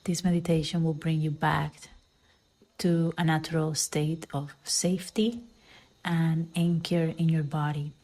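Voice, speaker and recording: A woman leading a guided meditation in a soft, soothing way, with phrase endings that fall into breathy near-whispers. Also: sits low, rough recording. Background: background music.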